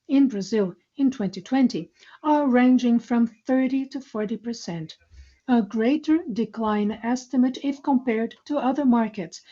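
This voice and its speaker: A woman with a fairly high, soft-edged mellow voice reads a formal financial presentation. Her delivery is measured and businesslike. The audio is slightly dull, like a conference call. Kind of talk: scripted corporate financial presentation.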